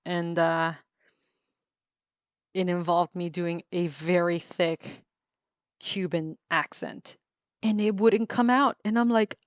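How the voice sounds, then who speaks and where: Woman, casual livestream chat, slightly low voice, laughs a lot, lots of ums, dry close sound. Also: steady tone, takes it slowly, long pauses, smooth, dry recording. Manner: chatty, laughs often, many ums and restarts. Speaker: livestreamer drawing on a tablet. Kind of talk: casual chat while drawing.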